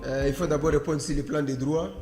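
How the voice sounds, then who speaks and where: A man's voice: fairly high pitch, fairly even intonation, fairly quick, a little mellow, soft background music. A man with a Congolese accent answering as a political panel guest, didactic and emphatic, posing rhetorical questions. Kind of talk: political debate interview. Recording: echoey hall-like room with long reverb.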